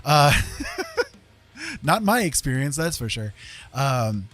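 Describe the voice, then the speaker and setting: A man's voice: mid-range voice, big pitch swings, slow, a bit bright. A man livestreaming in a casual, rambling way, with a jokey, self-deprecating, colloquial manner. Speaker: live streamer and digital artist.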